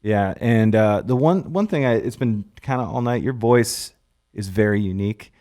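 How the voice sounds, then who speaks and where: A man's voice: on the low side, normal speed, a little mellow. A man hosting a podcast, asking a guest questions in a supportive, casual way with lots of small affirmations. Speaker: podcast host. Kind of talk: casual interview chat.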